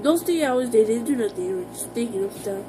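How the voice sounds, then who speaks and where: American girl vlogger kinda high voice, rambly informal talk, stops and starts, music playing behind her. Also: lively intonation, brisk, poor audio. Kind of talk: casual personal vlog talk to viewers.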